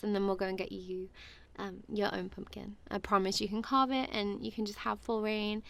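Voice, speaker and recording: A woman's voice: mid-range voice, creaky. A woman playing a goofy, affectionate friend in an ASMR roleplay, chatting casually in a playful, giggly, rambling way.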